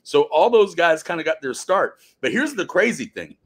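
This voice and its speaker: A man, an actor guesting on a podcast, who tells stories casually but emphatically, with dramatic pauses. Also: fairly high pitch, big pitch swings. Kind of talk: casual interview chat.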